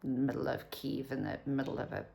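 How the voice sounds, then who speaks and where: A South African woman in her fifties talks to camera at home in a low voice with a gravelly fry. She rambles candidly and drops to a whisper for asides. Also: fairly even intonation, fast talker, mellow. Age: 50s. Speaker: vlogger. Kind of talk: casual talk to camera.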